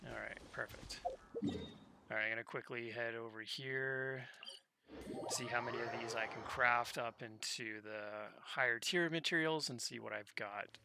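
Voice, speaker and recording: A man streaming a video game, chatting casually and relaxed with his viewers. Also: medium pitch, moderate pace, creaky, background noise. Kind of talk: casual gameplay commentary. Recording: loud game music behind.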